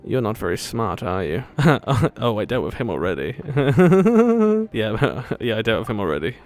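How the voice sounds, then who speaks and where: A man's voice: on the low side, very animated, measured pace, darkish tone, faint music behind. A man giving casual gameplay commentary as host, jokey, sarcastic and playful. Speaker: gaming video host.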